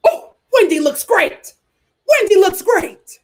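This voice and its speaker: Animated high-voiced woman reacting to TV. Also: slow pace. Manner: animated, talking back at the screen. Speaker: reality TV commentary host. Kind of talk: casual reaction chat.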